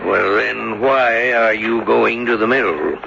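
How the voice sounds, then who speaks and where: A man playing a gruff, wary older countryman, halting in speech, in a radio drama. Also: fairly low pitch, steady tone, heavily acted. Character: gruff older countryman, a father, uneasy cart driver. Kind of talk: 1970s radio drama acting. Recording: dull, very noisy vintage radio broadcast.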